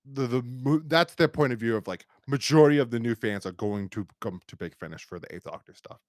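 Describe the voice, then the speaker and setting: Rambling podcast man with swooping pitch. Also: expressive, no echo, clean studio sound. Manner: rambling, halting with repeated false starts. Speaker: podcast host. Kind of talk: casual review chat.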